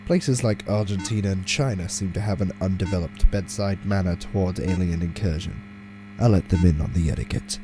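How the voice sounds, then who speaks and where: Man acting, sardonic male alien mastermind, lowish pitch, deliberate, dry monologue, audio drama. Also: lightly acted. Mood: wry. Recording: music clearly under the voice.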